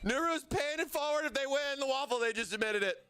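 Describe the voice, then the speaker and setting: Hyped-up high-voiced male streamer. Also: fairly quick. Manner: excitable, playful, hypes up the chat. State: voice strained from overuse. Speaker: livestream host. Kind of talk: hyped giveaway livestream chat.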